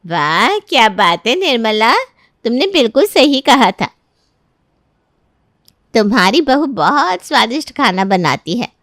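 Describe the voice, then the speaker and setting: A woman's voice: fairly high pitch, sing-song, unhurried, pauses often, a bit bright, no echo, studio quality. A woman voicing an approving older visiting aunt in a storybook drama, effusive and emphatic. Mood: impressed.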